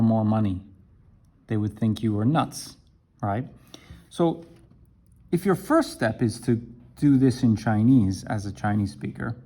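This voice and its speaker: A man teaching an English lesson to camera, talking slowly and deliberately and checking in with "right?". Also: medium pitch, warm tone. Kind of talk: explaining a language lesson to camera.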